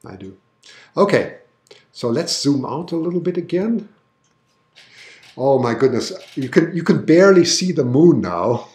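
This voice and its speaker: A man's voice: a little high, very animated, unhurried, long pauses, a little mellow, echoey room. German-born man with a mixed accent. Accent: mixed international with German roots. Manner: chatty, enthusiastic, restarts words often. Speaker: livestream host showing an eclipse. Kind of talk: live commentary. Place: outdoors in a backyard at night.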